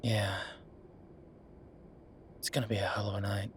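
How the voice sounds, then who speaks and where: A man playing a weary, wry younger werewolf boyfriend working late, speaking haltingly in an intimate roleplay audio drama. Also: little pitch movement, a little hiss or hum, lightly acted. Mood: tired, frustrated. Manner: low-key, sardonic, halting, sighing.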